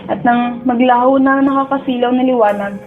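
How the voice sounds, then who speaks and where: A woman's voice: fairly high pitch, steady tone, moderate pace, narrow, tinny audio, soft background music, natural voice. A woman with a Filipino accent playing an emotional, pleading young woman who narrates and speaks in a tender, heartbroken radio drama. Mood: grieving. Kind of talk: dramatic first-person narration and dialogue.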